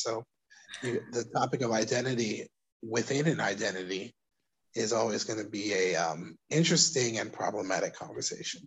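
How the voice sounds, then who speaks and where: A man from Brooklyn with a New York accent, speaking thoughtfully as an interview guest, pausing and restarting as he works through his ideas. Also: mid-range voice, breathy edge, poor audio. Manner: thoughtful, hesitant, with restarts and soft laughs. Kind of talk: casual interview conversation.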